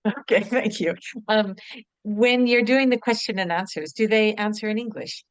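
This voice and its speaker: A woman's voice: medium pitch, moderate pace, no room sound, poor audio. Woman with dry, close sound asking casually. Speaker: audience member asking a question. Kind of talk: casual question.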